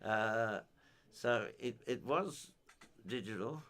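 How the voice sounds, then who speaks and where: An older man giving an informal technical explanation in a halting, methodical way. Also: on the low side, lively intonation, fairly bright tone. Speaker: amateur radio enthusiast giving a technical talk.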